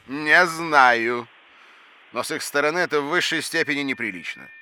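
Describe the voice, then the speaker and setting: Pompous exasperated scatterbrained professor, highish forceful voice, kids audio drama. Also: male voice, normal speed, a little hiss or hum. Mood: pompous, lecturing. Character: fussy, indignant, absent-minded Greek palaeontology professor. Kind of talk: children's educational audio drama acting.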